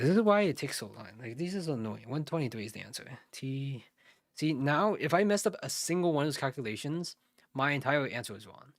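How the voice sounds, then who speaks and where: A man's voice: medium pitch, vocal fry, dry recording, clean studio sound. A man from the United States working through math questions live, thinking aloud, muttering and correcting himself as he goes. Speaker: SAT tutor streaming live.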